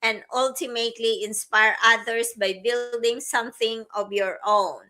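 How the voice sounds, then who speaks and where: A woman from the Philippines co-hosting a live stream, chatting slowly with many fillers and restarts. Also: on the high side, steady tone. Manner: slow, warm-hearted, frequent fillers and restarts. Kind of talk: casual livestream chat. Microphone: livestream setup.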